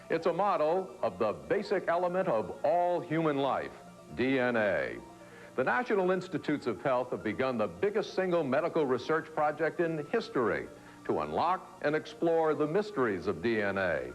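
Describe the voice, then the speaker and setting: A man narrates a television news documentary in a fairly high, very animated voice. His delivery is measured and emphatic, with prominent music underneath. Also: poor audio. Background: background music.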